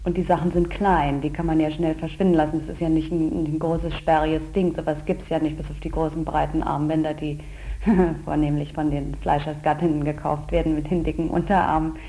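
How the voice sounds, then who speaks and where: A woman, a shop saleswoman, speaks candidly and wavers as she muses. Her voice sits very low, dark and mellow, and stays flat in tone. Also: brisk, low-quality recording. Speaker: department store saleswoman. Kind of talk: interview recollection.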